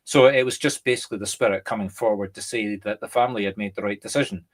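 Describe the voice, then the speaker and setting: Animated Scottish man explaining. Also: on the low side, fast talker. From Scotland. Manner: animated, explains through examples and acted-out quotes. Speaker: medium, co-host. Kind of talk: casual conversation.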